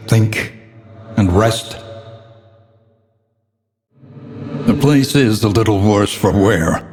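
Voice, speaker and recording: A man's voice: fairly low pitch, darkish tone, a little hiss or hum. An actor playing a grave, weary, learned older man who narrates a gothic horror game with solemn, ominous, theatrical diction. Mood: somber, soothing. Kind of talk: dramatic scripted gothic narration. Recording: long reverberant hall echo.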